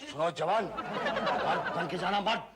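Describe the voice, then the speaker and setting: An actor playing a blustering, domineering army major husband who barks pompous, mocking orders in a broad sitcom style. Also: male voice, high-pitched, measured pace, very noisy. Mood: rousing, commanding. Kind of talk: broad Indian TV sitcom acting.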